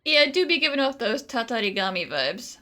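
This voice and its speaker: Female let's play commentator: sharp bright tone, high register, wry and informal. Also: big pitch swings, brisk. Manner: wry, slangy. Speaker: gaming streamer.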